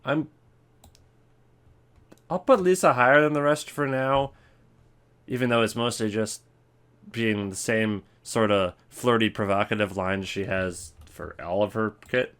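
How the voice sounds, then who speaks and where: A man's voice: on the low side, fairly even intonation, unhurried, long pauses, fairly bright tone, clear, resonant tone. A man hosting a video with casual, rambling, offhand gaming commentary. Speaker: video host.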